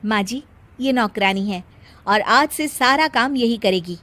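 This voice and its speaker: A woman voicing a young, overworked daughter-in-law in a cartoon story, talking back quickly and with exasperation. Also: a little high, fairly bright tone. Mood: warm. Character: young working daughter-in-law, busy, defensive.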